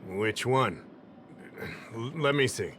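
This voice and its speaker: An actor voicing a relaxed, authoritative male official in a video game, confident and lightly humorous. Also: mid-range voice, slow pace, a little hiss or hum. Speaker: commander of an investigation. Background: game music.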